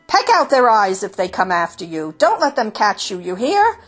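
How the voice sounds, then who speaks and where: A woman's voice: medium pitch, big pitch swings, normal speed, slightly rough. A woman voicing a defiant male rooster in a storybook, urgently rallying and commanding his flock. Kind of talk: storybook character voice.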